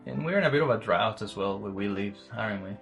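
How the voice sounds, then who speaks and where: A man hosting a faith podcast. He chats easily, asks his guest open questions and slows down to read scripture. Faint music sits under his voice. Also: fairly low pitch, expressive, creaky. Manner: warm welcoming, asks questions, reads scripture slowly. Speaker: podcast host. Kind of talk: faith podcast conversation and Bible reading.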